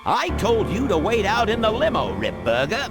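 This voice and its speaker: A crusty old man, with a high, creaky voice that swings widely. He speaks slowly and with feisty bite, like a tycoon who used to ride, over clear background music. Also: wildly swooping pitch, heavily acted. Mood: gruff, amused. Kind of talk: video game voice acting. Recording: music playing behind the voice.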